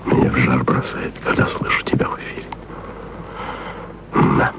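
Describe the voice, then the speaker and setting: A man's voice: normal speed, close to an everyday voice. An actor playing a wry, lovesick male radio journalist who murmurs confiding messages onto tape, often close to a whisper. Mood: intimate. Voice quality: low gravelly murmur, often near a whisper. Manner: intimate, confiding, self-ironic. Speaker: radio man taping messages to a colleague. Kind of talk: radio drama acting. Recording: noisy tape recording.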